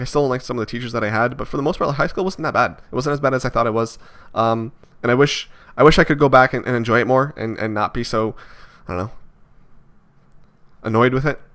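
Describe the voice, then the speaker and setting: A man's voice: mid-range voice, quick pace, a bit bright. Hesitant rambling man in his twenties. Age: 20s. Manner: rambling, hesitant, many fillers and restarts. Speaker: vlogger. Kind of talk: reflective casual monologue.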